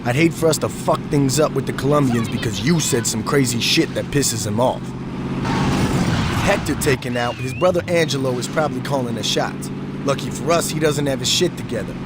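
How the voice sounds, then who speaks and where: An actor as a cool, wary gang lieutenant. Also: male voice, mid-range voice, normal speed, very noisy, close to an everyday voice. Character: cautious male gang lieutenant. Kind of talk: video game cutscene acting.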